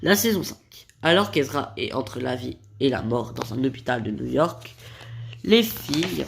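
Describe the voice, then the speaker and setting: A man's voice: a little high, vocal fry, fairly bright tone, slight background noise. A man with a cold summarizing a TV series plot for a video, often stumbling over his words and correcting himself. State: has a cold. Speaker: video creator. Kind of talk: series recap narration.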